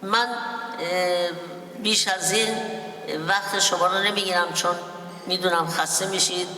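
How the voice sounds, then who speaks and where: A man giving a formal speech at a ceremony, speaking rapidly and pausing for an interpreter. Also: sits high, steady tone, pushed and bright, a little hiss or hum. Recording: echoey hall from far off.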